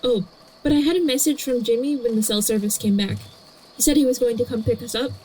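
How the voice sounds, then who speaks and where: A woman in a podfic audio drama playing a blunt, tired, unbothered young woman, deadpan throughout. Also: on the high side, fast, slightly rough, rough recording. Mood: dry, unbothered.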